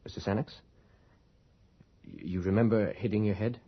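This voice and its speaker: An actor playing an earnest, persistent investigator in a radio horror drama, educated and polite, with hesitant, articulate questioning. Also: male voice, fairly low pitch, moderate pace, gravelly, husky. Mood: insistent. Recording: dull lo-fi radio recording.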